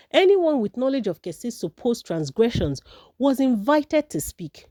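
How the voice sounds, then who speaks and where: A woman's voice: mid-range voice, dark tone, no echo, studio quality. A woman narrating a folktale from a script as a storyteller.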